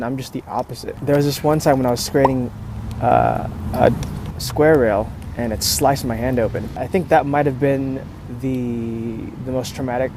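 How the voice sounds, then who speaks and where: A man's voice: mid-range voice, warm tone. A man chatting casually and matter-of-factly as a skateboarding vlogger, outdoors on an earphone microphone. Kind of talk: casual rambling vlog chat. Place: outdoors at an urban skate spot. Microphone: earphone microphone with a phone. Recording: noisy outdoor phone and earpiece audio.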